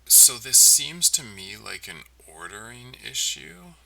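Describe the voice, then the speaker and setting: Male developer streamer, halting think-aloud delivery, fry-heavy and very crisp-edged tone, keyboard clicks behind. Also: mid-range voice, expressive, pushed and bright, far from the mic, poor audio. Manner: halting, muttering to himself. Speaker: game developer livestreaming. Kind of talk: casual thinking-aloud coding commentary. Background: typing and mouse clicking.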